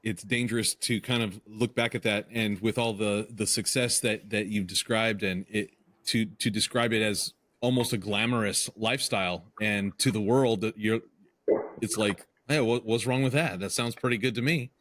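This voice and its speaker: A man's voice: lowish voice, fairly even intonation, moderate pace, fairly bright tone. A man hosting a podcast, reacting briefly and asking probing follow-up questions. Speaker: podcast host. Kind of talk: interviewing.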